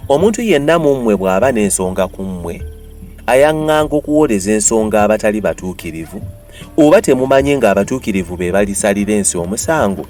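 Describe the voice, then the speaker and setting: A man's voice: lowish voice, animated, fast, darkish tone, faint music behind. A man with a Ugandan accent gives a dramatized, declamatory Bible reading with emphatic delivery. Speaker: Bible reader.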